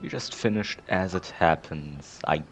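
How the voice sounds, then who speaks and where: Man, low-pitched, unhurried, laconic, casual game commentary, music behind. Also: expressive, fairly bright tone. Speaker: gamer playing through a story game.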